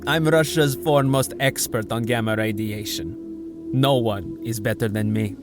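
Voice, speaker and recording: A man voices a haughty, scheming scientist who insists he is irreplaceable. His mid-range voice sits over clearly heard music in an audio drama. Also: medium pitch, moderate pace, natural voice. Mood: arrogant. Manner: haughty and demanding. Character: arrogant, scheming male gamma scientist.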